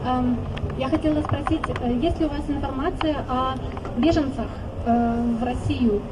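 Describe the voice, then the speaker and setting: A woman's voice: high-pitched, moderate pace. A woman from Belarus, a native Russian speaker, hesitantly asking a question at an outdoor rally. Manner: hesitant, many filler sounds, switches between German and Russian. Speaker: audience member asking a question. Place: outdoor city square. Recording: distant mic, loud street traffic.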